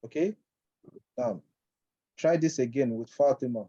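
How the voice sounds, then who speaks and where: A man from Nigeria teaching Arabic over a video call, patiently repeating phrases slowly for his student to copy. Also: mid-range voice, warm tone, no room sound, lo-fi sound. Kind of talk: online language lesson.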